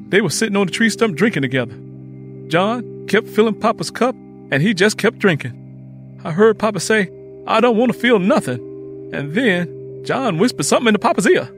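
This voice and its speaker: Guy doing excitable little boy in a story, high voice, really animated, folksy talk. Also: a little fast, over music. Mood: excited, alarmed. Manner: emphatic, folksy vernacular. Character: excitable, stubborn young Southern boy, Willie. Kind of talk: dramatised audiobook dialogue.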